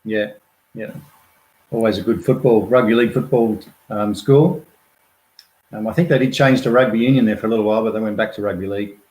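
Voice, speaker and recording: A man's voice: lowish voice, fairly even intonation, a little fast, darkish tone, roomy sound, rough recording. A laid-back middle-aged man, a volunteer guest, talking casually with dry, understated humour. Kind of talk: casual live-stream chat.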